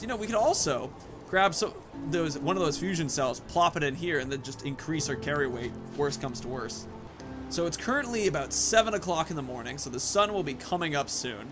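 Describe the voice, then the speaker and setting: A man giving casual let's play commentary as a gaming host, rambling and thinking aloud. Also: a little high.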